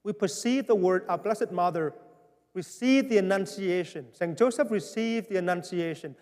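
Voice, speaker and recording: A parish pastor with a Vietnamese accent speaks to his congregation in a high voice, earnest and pleading, with a wry, gentle humor. The sound has the long, lingering reverb of a large church. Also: moderate pace. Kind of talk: town hall address to parishioners.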